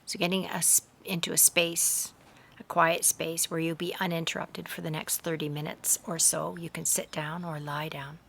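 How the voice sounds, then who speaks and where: A woman leading a slow guided meditation in a measured, hushed voice that often softens into breathiness, recorded outdoors. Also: low voice, level tone, gravelly, slight background noise. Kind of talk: slow, soothing guided meditation with hypnotic induction. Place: outdoors in a garden. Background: faint outdoor garden ambience.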